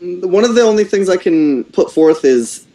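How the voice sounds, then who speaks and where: A young man with a mellow, dark voice briefs a group informally over a call. He is blunt and exacting, and the room echoes around him. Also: high-pitched, animated, moderate pace. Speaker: audio editor of an amateur radio play. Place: online group voice call, roommates nearby. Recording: roomy, echoing voice-call sound.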